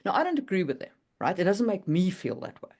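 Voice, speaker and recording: A woman chatting casually and opinionatedly, in an animated way, as on a writing podcast. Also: fairly low pitch, quick pace, gravelly. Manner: animated, opinionated, laughs readily. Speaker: podcast guest discussing writing. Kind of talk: casual chat.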